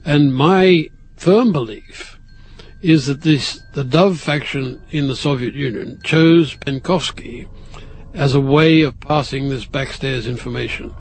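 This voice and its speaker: A man speaking as a documentary contributor, explaining history in a deliberate, emphatic way. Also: medium pitch, a little hiss or hum, low-quality recording.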